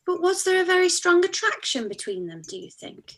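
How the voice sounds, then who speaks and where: A woman playing an excitable, naive young woman in a live-read radio play. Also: extremely high pitch, a little fast, exaggerated voice. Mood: excited.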